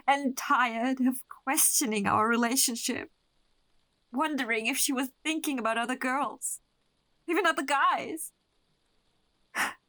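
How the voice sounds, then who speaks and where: A woman's voice: high voice, sharp tone, exaggerated voice. A woman playing a distraught, obsessive young woman grieving her lover in a horror radio drama, anguished and pleading.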